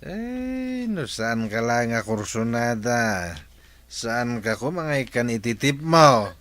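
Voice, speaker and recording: A man's voice: medium pitch, sing-song, slow, fairly bright tone. A man playing a quarrelsome, flirtatious village husband who drinks, in an Ilocano radio comedy drama. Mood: flirtatious, boozy.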